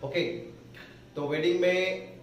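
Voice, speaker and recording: Echoey Hindi-accented English teacher. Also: male voice, sits high, steady tone, slight background noise. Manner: explains slowly, repeats phrases word by word. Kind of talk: classroom grammar lesson. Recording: echoey room, voice from far off.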